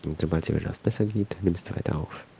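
A man's voice: sits low, fast talker, gravelly, warm tone, highs cut off, a little hiss or hum. A man with a cold, casually rambling and muttering to himself while commenting on his gameplay. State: has a cold. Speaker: let's play host.

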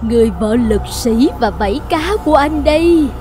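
A plaintive cartoon wife with a very high voice. She is strong and wronged, and speaks with emotional pleading over clearly audible music. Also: animated, normal speed. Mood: taunting. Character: wronged, pleading strong wife with fish scales, later haunting ghost. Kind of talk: Vietnamese cartoon voice acting.

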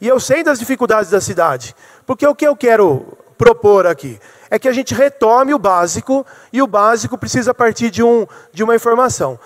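A man's voice: very high-pitched, animated, a little fast. A middle-aged man from Brazil, a city councilman, giving an earnest speech at a council podium, explaining his points and asking rhetorical questions. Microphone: podium microphone. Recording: long hall reverb.

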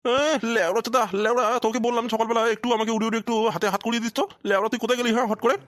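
Man acting a cranky, groaning old father, talking fast. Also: extremely high pitch, steady tone, fairly bright tone, dry recording, clean studio sound. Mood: scolding, pained. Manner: foul-mouthed, groaning, scolding. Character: cranky old village father with an aching back. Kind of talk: crude adult comedy cartoon dubbing.

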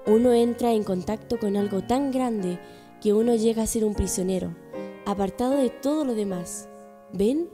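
A woman's voice: on the high side, moderate pace, music in the background. A woman reading scripted narration for a religious radio drama.

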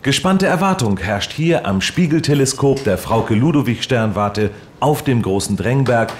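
A man's voice: mid-range voice, lively intonation, normal speed, slight background noise, close to an everyday voice. Man playing a florid, straight-faced radio reporter. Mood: solemnly intrigued. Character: earnest, overblown male radio reporter on location. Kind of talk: parody live radio reportage.

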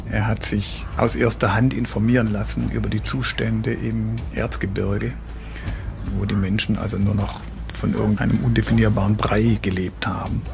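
A man's voice: on the low side, background noise. A man explaining history as an expert interviewee in a documentary. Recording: music clearly behind the voice.

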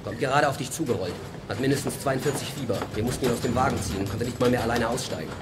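A man acting an urgent, agitated hospital colleague in a radio play. He speaks extremely quickly at a middling pitch, with loud ambient noise around him. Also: natural voice.

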